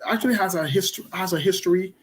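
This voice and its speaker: A man's voice: sits high, rapid-fire. A man, hosting a political discussion and pressing his points emphatically, often repeating words for stress. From Liberia.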